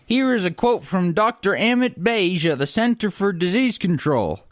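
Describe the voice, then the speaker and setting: Man playing a high-voiced bumbling news anchor. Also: animated, moderate pace, no echo, clean studio sound. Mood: earnest newsreading. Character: bumbling out-of-state stand-in male TV news anchor. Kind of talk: mock newscast comedy acting.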